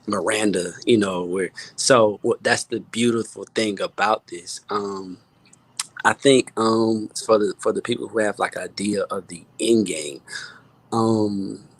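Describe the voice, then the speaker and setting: A man in a casual online conversation, giving informal, encouraging advice with halting, repeated phrases. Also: fairly low pitch, steady tone, low-quality recording. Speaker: guest music producer. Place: remote online video call.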